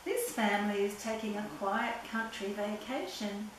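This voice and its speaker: An older woman reading a children's picture book aloud in a deliberate, playful storytelling way. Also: mid-range voice, expressive, loud music behind the voice. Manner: simple, deliberate reading with playful sound words. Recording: very echoey room, distant mic, background noise.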